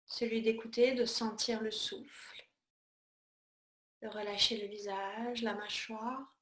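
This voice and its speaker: A woman teaching yoga, guiding a meditation in a calm, soothing, unhurried way with pauses between short phrases. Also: fairly high pitch. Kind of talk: guided yoga and meditation instruction. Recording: echoey room sound.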